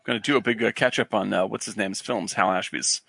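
A man's voice: fairly low pitch, brisk, creaky, a bit bright, slightly rough, no echo. A man co-hosting a casual talk show, chatting in a jokey way with an easy laugh. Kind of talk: casual chat about old films and TV.

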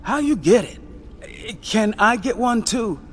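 Man doing scared young girl voice, high and pleading, asks a lot. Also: lively intonation, takes it slowly, fairly bright tone, slight background noise, heavily acted. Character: frightened young girl with a baby.

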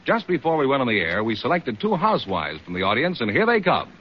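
A man's voice: medium pitch, wildly swooping pitch, quick pace. An American man announcing rules and scores on a radio quiz show in a scripted style. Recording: muffled old radio, faint noise.

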